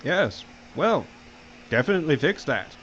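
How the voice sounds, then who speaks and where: A man's voice: on the high side, sing-song, unhurried, fairly bright tone, highs cut off, a little hiss or hum. An actor playing a slick, self-assured boss and flirtatious salesman in an audio drama. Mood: smooth, flirty.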